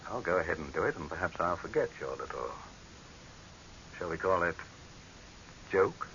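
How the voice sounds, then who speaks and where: Old-time radio dictator: low-pitched, haughty, scornful, widely swinging delivery, on a hissy dull recording. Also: male voice, expressive, moderate pace, creaky, sharp tone, exaggerated voice. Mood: angry, commanding. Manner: imperious, haughty, barking orders. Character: pompous tyrannical male dictator, commanding, scornful, cracking into panic. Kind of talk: 1930s radio horror drama acting.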